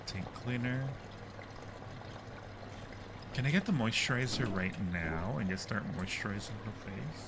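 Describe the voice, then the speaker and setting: A man's voice: fairly low pitch, lively intonation, normal speed, very noisy. A man hosting a casual live game stream, chatting playfully with viewers and muttering to himself in a jumpy way as he plays.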